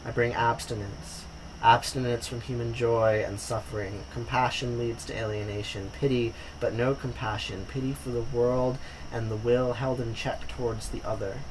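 A young man voicing Death as a dark male stranger, cold and stern, pronouncing his words flatly. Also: medium pitch, normal speed, noisy background, close to an everyday voice.

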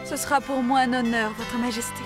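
A woman playing a gentle, devoted young peasant queen in a fairytale cartoon. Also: fairly high pitch, steady tone, a little fast, natural voice. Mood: sincere. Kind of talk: French-dubbed fairytale cartoon acting. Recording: music behind the voice.

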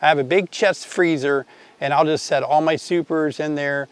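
A man's voice: a little high, steady tone, moderate pace, dry recording. An older man giving a chatty, enthusiastic beekeeping tutorial outdoors in an apiary, talking straight to viewers. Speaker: beekeeping teacher. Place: outdoor apiary, grassy field.

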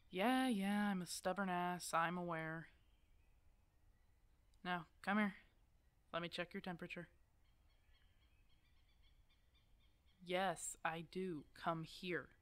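A woman's voice: lowish voice, fairly bright tone, clean tone, no room sound. A woman playing a stubborn, protective older werewolf hermit in an ASMR roleplay drama, blunt and teasing in a casual way. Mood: teasing, caring. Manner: teasing, blunt, casual one-sided dialogue.

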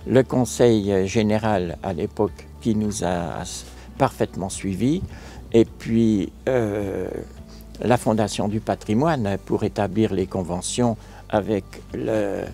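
An older man from France, a local mayor, recounting a restoration project in a measured, formal way in an outdoor interview. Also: on the low side, vocal fry, warm tone, soft background music. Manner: measured, formal, a few fillers. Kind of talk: interview answers, measured account. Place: outdoors on a stone chapel tower balcony.

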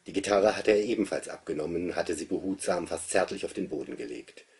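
A man in his fifties giving an expressive literary reading of a novel as its author. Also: deep voice, fairly quick, breathy edge. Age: 50s. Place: small book-lined study, indoors.

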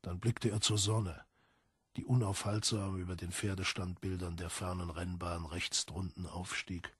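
A man with a husky, raspy voice narrating a literary audiobook from a script. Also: low voice, flat delivery, a little slow, a bit bright, no echo, studio quality.

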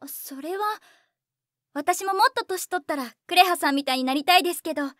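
A woman's voice: very high voice, a little fast, a bit bright, no room sound, studio quality. An actress playing a sweet, timid young woman with a cutesy, girlish way of talking in an audio drama. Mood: meek, admiring. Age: young adult.

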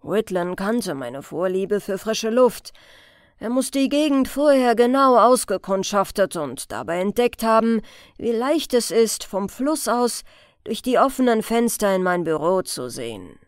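Audiobook crime story: dry, sardonic chief inspector, deliberate and lively in pitch read by a woman, close dry sound. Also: dry recording. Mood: matter-of-fact. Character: dry, sly male police chief inspector, quietly venomous.

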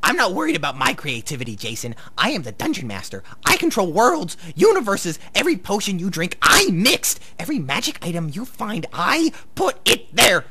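A woman's voice: medium pitch, big pitch swings, normal speed, hardly any pauses, bright, forceful tone. A woman acting a bossy, touchy young game master in a live radio play, commanding and defensive. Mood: exasperated, heated. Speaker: actor.

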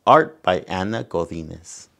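Gravelly Salvadoran man reading a storybook. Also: sits low, big pitch swings, unhurried, pushed and bright. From San Salvador, El Salvador. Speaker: storytime host. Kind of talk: bilingual read-aloud of a children's picture book. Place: indoor room in front of wooden backdrop.

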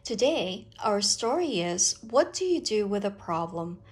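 A woman reading a picture book aloud to children, with expressive, deliberate, dramatic phrasing. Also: mid-range voice, breathy edge.

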